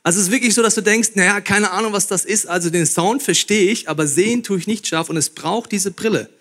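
Pastor, male, late 30s, playful sermon delivery, voice a touch higher than usual. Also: fast. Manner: lively, joking, addresses audience directly. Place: church hall before a live audience.

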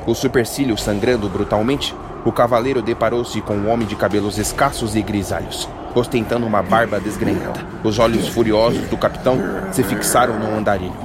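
Low-voiced man narrating a fantasy tale. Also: a little fast, few pauses, background noise. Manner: steady dramatic storytelling. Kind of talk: scripted fantasy audiodrama narration.

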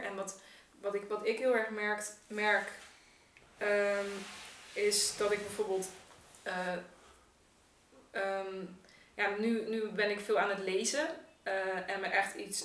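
Woman, podcast guest. Thinks aloud, conversational. Roomy, distant pickup. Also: medium pitch. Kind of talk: casual podcast discussion. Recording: echoey room, off the mic.

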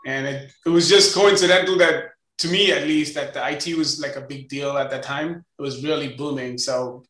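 A man's voice: a little high, fairly even intonation, moderate pace, a bit bright, echoey room, poor audio. A man in his forties from India, with an Indian accent, casually telling stories as an interview guest. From Chennai, India. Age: 40s. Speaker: interview guest, company co-founder. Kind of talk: casual conversational storytelling.

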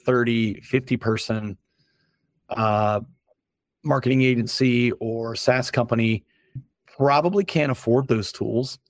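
A slightly hoarse man, a company founder, giving frank, casual advice as a podcast guest and listing his points in a loose conversational way. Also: medium pitch, dry recording, clean studio sound. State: a little hoarse. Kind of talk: casual business podcast talk.

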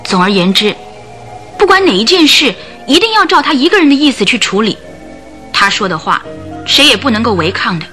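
Bright-voiced, bitter, sorrowful circus wife. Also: female voice, fairly high pitch, big pitch swings, brisk, faint music behind. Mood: resentful. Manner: dramatic, plaintive storytelling with sighs. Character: bitter, sorrowful circus trainer's wife recounting her hard life. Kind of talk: radio play acting.

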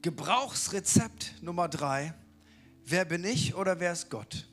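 A man's voice: sits high, lively intonation, unhurried, a bit bright, soft background music. A man giving a casual, colloquial sermon who keeps asking the listeners rhetorical questions. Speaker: preacher.

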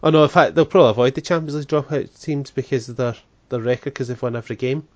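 A man co-hosting a football podcast in a halting, filler-heavy chat. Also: mid-range voice, a little mellow, dry recording. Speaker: podcast co-host. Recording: dry, dull lo-fi sound.

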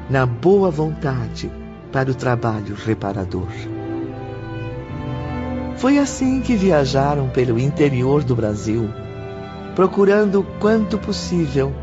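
Solemn, deliberate radio drama narrator. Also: male voice, medium pitch. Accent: Brazilian. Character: solemn omniscient male narrator. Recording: dull audio, music behind.

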